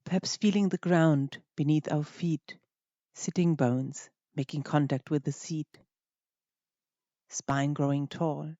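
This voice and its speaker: A meditation teacher gently guiding a practice in short, unhurried phrases with pauses between them. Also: mid-range voice, steady tone, dry recording, highs cut off. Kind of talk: guided meditation narration.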